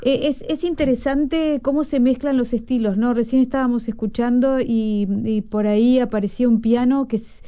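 A woman interviewer asking long, winding questions in a relaxed conversation. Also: on the high side, normal speed, few pauses, smooth.

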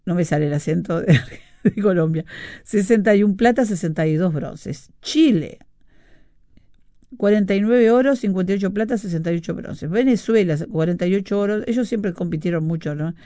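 A middle-aged Uruguayan woman hosts a relaxed radio show, chatting freely and reading listener messages with frequent fillers and little laughs. Her voice is dark and mellow, recorded dry and close with no room around it. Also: medium pitch, normal speed, dry recording. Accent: Uruguayan Spanish. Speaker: radio host.

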